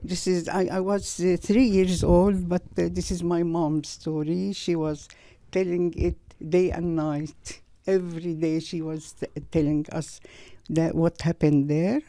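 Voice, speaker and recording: An older woman from Palestine telling her personal story in a halting way, with many pauses and restarts, in a studio. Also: fairly low pitch, level tone, warm tone, no echo. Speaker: guest sharing her story. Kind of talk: personal testimony.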